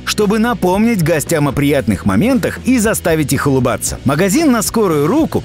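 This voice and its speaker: A man reading scripted narration for a video as its narrator. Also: high voice. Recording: background music under the voice. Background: music.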